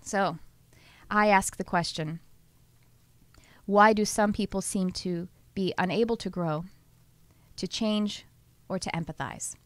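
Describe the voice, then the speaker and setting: A woman with a Texas accent hosting a podcast, talking casually and lively, often laughing and speeding up in bursts. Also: mid-range voice, takes it slowly, long pauses, dry recording. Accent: Texan. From Southern US. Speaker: podcast host and channeler. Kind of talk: casual conversational podcast chat.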